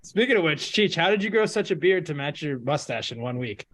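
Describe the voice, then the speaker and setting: A man with a slightly high voice chats casually as a podcast co-host. He talks in a laid-back, informal way and swears now and then. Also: big pitch swings, fairly quick, a bit bright, low-quality recording. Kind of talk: casual chat about movies.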